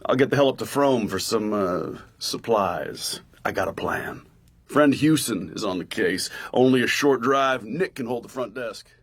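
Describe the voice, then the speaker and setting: An actor playing a wry, laconic agent with a husky voice in a radio drama. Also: male voice, medium pitch, unhurried, close to an everyday voice. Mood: wry, scheming. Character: wry, laconic male American agent and ally.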